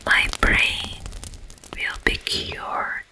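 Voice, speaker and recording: Woman whispering as an eerie, slow-talking demon. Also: heavily acted. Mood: troubled, eerie. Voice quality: breathy, mostly unvoiced whisper. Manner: very slow, broken into short hushed phrases. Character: eerie sleep paralysis demon, once a tormented monk. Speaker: ASMR performer. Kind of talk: ASMR roleplay, slow whispered storytelling.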